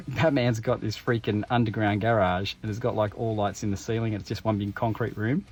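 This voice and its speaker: A man's voice: on the low side, steady tone, a little fast, darkish tone, breathy edge, faint music behind. A middle-aged man giving casual, rambling unboxing commentary, reading passages aloud and joking at his own expense. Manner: rambling, self-deprecating, reads passages aloud. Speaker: YouTube unboxing host.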